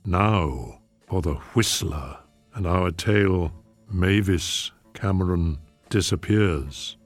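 A man's voice: low voice, takes it slowly. A man hosting a radio show with scripted introductions. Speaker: host. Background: music.

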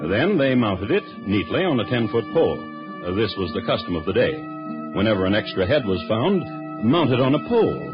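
Seeking a man as wry storytelling narrator, lower register, dry delivery, muffled vintage radio sound with music. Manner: dry, wry, measured deadpan. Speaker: narrator and host. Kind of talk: scripted old-time radio storytelling narration.

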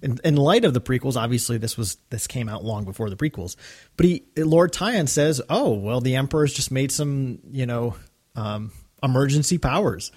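A man co-hosting a casual discussion podcast, talking in a halting, enthusiastic fan's way. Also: medium pitch, a little mellow, husky. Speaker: podcast co-host.

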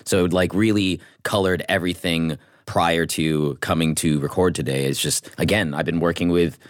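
Male actor, low-pitched, effusive casual talker, very dry studio sound. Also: fairly even intonation, moderate pace, no room sound. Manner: effusive, informal. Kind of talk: casual panel chat.

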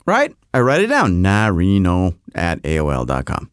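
A man's voice: sits low, huge pitch swings, normal speed, smooth, no room sound, clean studio sound. A man hosting a podcast who tells a rambling comic story full of self-mocking asides. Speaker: podcast host.

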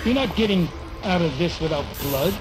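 A woman's voice: on the low side, expressive, moderate pace, music in the background, natural voice, low-quality recording. A woman voicing an ominous, solemn spirit that insistently commands bloodshed in an audio drama. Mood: commanding. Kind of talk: audio fiction drama acting.

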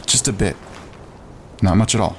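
An actor playing a calm, practical male wilderness hiker with a dryly teasing manner, in a naturalistic audio drama. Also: normal speed, close to an everyday voice. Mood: light teasing. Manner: level-headed, dryly teasing. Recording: clear outdoor ambience and rumble.

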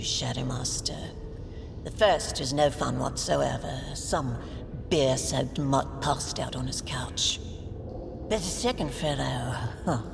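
An actress playing a sadistic shadowmancer in an audio drama, gloating languidly in a gravelly voice. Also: female voice, deep voice, full character voice. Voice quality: gravelly, creaky. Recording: long reverberant hall, music behind.

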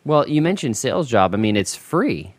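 A man's voice: mid-range voice, sing-song, moderate pace, slightly rough, dry recording. A male podcast host chatting casually with guest writers, joking at his own expense and repeating words as he talks. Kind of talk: casual interview chat.

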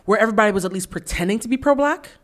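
A woman in her forties from the US East Coast hosts a frank podcast conversation. She talks at a brisk clip, and her voice stays in an ordinary middle register. She tells stories emphatically and holds firm opinions. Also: mid-range voice, expressive. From East Coast of the United States. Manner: emphatic, opinionated storytelling with acted-out quotes. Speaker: podcast host.

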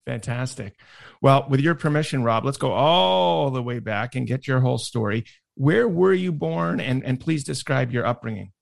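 Gravelly, polite man interviewing. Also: mid-range voice, lively intonation, normal speed. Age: middle-aged. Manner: polite, courteous. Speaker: interviewer, host. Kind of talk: conversational interview.

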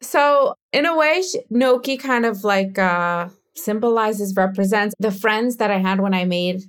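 A woman's voice: mid-range voice, measured pace, clear, resonant tone. An American woman who created and stars in a show, answering interview questions in a candid, chatty way with many filler words. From United States. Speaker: show creator and lead actor.

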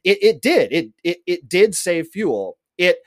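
A man's voice: sits high, very animated, measured pace, crowd noise. Man rambling through a trivia segment. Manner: rambling, with many fillers and restarts; lecturing, explains in detail. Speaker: podcast co-host presenting a trivia segment. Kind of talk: casual explanatory chat.

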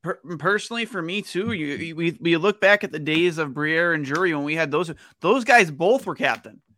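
A man from Western New York co-hosting a casual hockey podcast, talking in a halting way and repeating words as he builds his point. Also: on the high side, animated, bright, forceful tone. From Western New York, United States. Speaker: sports podcast co-host.

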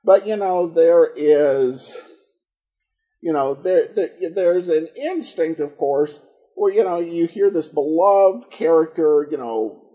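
A man speaks as a podcast host in a fairly high yet dark, relaxed voice. He comments casually and haltingly, feeling for the right word and restarting his phrases as he goes. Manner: halting, searching for words, frequent self-corrections. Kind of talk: casual commentary on old radio detective shows.

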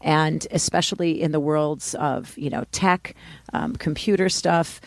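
A woman in her fifties co-hosting a talk show in a chatty manner, quick to laugh. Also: sits low, fairly even intonation, gravelly, dry recording. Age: 50s. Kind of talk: casual radio talk.

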